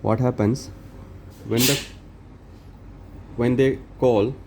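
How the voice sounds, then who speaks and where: A man presenting an unscripted step-by-step tech tutorial in halting, short phrases with non-native phrasing. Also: medium pitch, steady tone, background noise.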